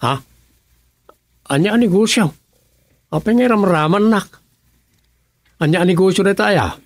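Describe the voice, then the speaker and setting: A man's voice: high voice, expressive, measured pace, dry recording, studio quality, full character voice. A man acting a wary, brusque village man who questions sharply in a rural comedy radio drama. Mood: wary, irritated.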